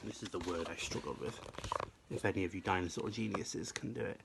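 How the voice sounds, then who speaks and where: A man reading a children's storybook aloud like a teacher to pupils, with expressive, emphatic, rhythmic delivery. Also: sits low, fairly quick, gravelly, background noise.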